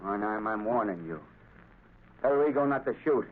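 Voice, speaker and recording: An actor playing a nervous, desperate saboteur in a 1930s radio drama, talking in a tense, blustering, fast way. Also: male voice, fairly low pitch, expressive, slight background noise, close to an everyday voice. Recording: tinny old radio recording.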